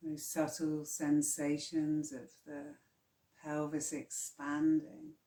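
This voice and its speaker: Woman doing guided yoga nidra, soft mellow voice, calm and unhurried, bit roomy. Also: deep voice. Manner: calm, soothing, hushed delivery with long pauses. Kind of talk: slow guided relaxation meditation.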